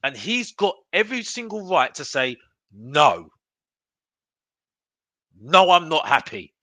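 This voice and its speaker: Animated, high-pitched man ranting as a fan. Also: takes it slowly, dry recording. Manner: emphatic, repetitive, rhetorical questions; addresses listeners as bro and ladies and gentlemen. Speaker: football fan channel host. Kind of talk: opinionated fan rant to camera.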